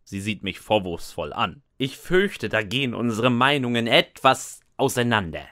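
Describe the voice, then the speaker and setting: A man's voice: medium pitch, sing-song, normal speed, sharp tone, dry recording. A man narrating and acting the lead in a scripted comic fan audio drama, with a dry, sarcastic, resigned manner. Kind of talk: scripted first-person comic narration with dialogue.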